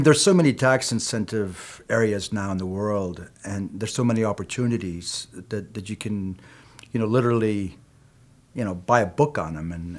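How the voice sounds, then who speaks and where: Low-voiced Peru-born film producer. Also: male voice. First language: Spanish. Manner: measured, reflective, frequent "you know". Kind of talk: interview answers.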